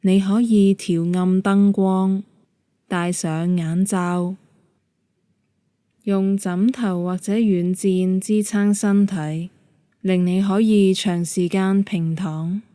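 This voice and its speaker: A woman with a Hong Kong Cantonese accent leading a guided meditation in a calm, gentle, measured manner. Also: mid-range voice, no echo, studio quality. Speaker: yoga nidra meditation guide.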